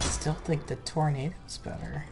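A woman's voice: deep voice, steady tone, moderate pace. A woman streamer chatting casually while playing a co-op video game. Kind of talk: casual co-op gameplay chat. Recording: loud game music behind. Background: video game music.

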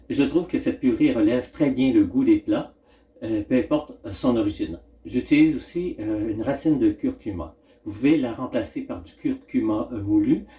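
A middle-aged man, hosting a casual cooking demonstration at home and thinking aloud with frequent pauses. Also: mid-range voice, mellow. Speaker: home cooking channel host. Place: home kitchen, indoors.

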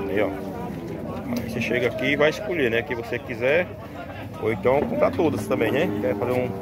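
A man vlogging casually from outdoor cattle pens at a livestock fair, filming on a handheld phone and talking straight to his viewers with repeated tag words. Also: medium pitch. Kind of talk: casual vlog showing cattle. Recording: loud crowd noise behind.

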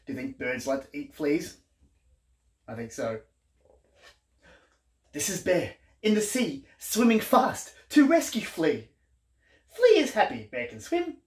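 A man's voice: a little high, very animated, slow, long pauses. Aussie guy reading a kids picture book, super swoopy playful voice, takes his time, bit echoey room. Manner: playful, exaggerated storyteller delivery with questions to the listener. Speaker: children's entertainer reading aloud. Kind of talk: animated picture-book read-aloud for young children. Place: small living room, indoors.

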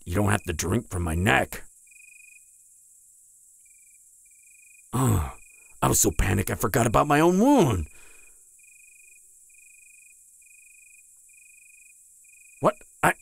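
A man's voice: mid-range voice, a bit bright, no room sound, exaggerated voice. A man playing a proud, wounded vampire hunter in an audio roleplay, stammering and strained with pain. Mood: pained, reluctant. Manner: stammering, halting, breathy sighs and groans. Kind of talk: intimate audio roleplay acting.

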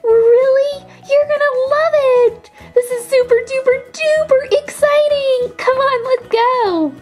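A woman voicing an excited, childlike toy pet in a children's pretend-play video, gushing in singsong exclamations. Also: very high voice, heavily acted. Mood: bubbly, excited.

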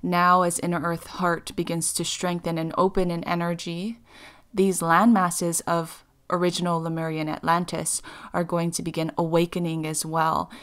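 Halting woman with a smooth, low voice. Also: little pitch movement, a bit bright. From Hawaii. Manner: halting, many "um" fillers and restarts. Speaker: spiritual channeler. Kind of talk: channeled spiritual talk over a globe map.

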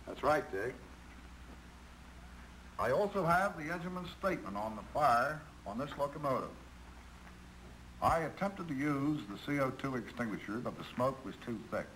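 A man's voice: medium pitch, very animated, moderate pace, pauses often, fairly bright tone. A man playing an earnest American railroad manager chairing a meeting in scripted 1950s training film dialogue. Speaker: railroad official leading a meeting. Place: conference room. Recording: noisy 1950s film soundtrack.

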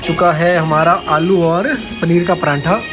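A man's voice: on the high side, flat delivery, measured pace, music in the background. A young man, a travel vlogger, chatting casually to viewers while on a road trip, filmed on a phone camera inside a car. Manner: chatty, addresses viewers directly. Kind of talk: casual travel vlog narration.

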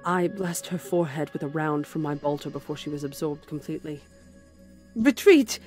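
A woman's voice: low-pitched, normal speed, darkish tone, soft background music, lightly acted. A woman voicing a grim, zealous warrior nun who recounts a horror in solemn, intense dramatic narration. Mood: urgent shouting.

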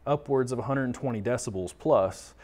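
A man's voice: mid-range voice, normal speed, a little mellow. American man casually explaining audio gear. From Texas, United States. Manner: conversational, explanatory, poses questions and answers them. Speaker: tech talk presenter explaining audio products. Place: small indoor studio office, seated or standing at a table.

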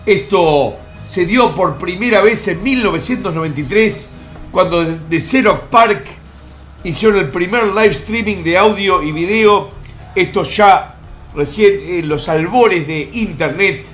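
Crude joking man, high-pitched. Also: a little slow, fairly bright tone, soft background music. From born in the United States. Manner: vulgar, joking, rambling asides. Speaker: streamer host. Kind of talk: crude comic countdown commentary. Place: home room in Miami.

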